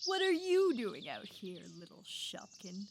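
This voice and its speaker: A woman voicing a sly, menacing male wolf in a children's fairy tale, with a slow, drawn-out delivery. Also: medium pitch, wildly swooping pitch, noisy background, pitched below the performer's own voice.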